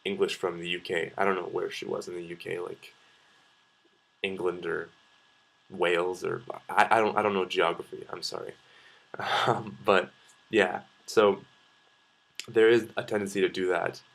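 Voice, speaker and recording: A young Canadian man with a fairly low voice talks casually to his viewers, as a video blogger would. He shares opinions in a loose, rambling way, hesitating and breaking into his own sentences as he goes. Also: flat delivery, normal speed, vocal fry, long pauses, fairly bright tone, rough recording. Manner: hesitant, rambling, self-interrupting. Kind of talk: casual opinion vlog, talking to camera.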